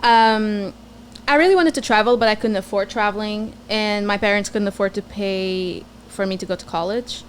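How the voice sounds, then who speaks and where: A woman's voice: medium pitch, moderate pace, a little hiss or hum, low-quality recording. A woman in her twenties from Portugal with a Portuguese accent, a comedian guest chatting bluntly and jokingly on a podcast. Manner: blunt, swears freely, jokey. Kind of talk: casual podcast chat.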